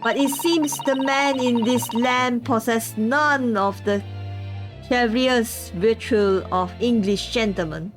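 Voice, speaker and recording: A woman voicing a prim, condescending young English gentlewoman witness, with game music behind. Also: a little high, slow pace. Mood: haughty.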